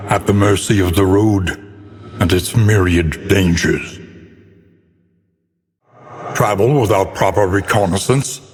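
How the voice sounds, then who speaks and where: Grave gothic narrator, low-pitched, solemn, portentous, theatrical video game delivery, long cavernous reverb. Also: male voice. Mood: ominous. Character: grave, foreboding male narrator of a doomed gothic world.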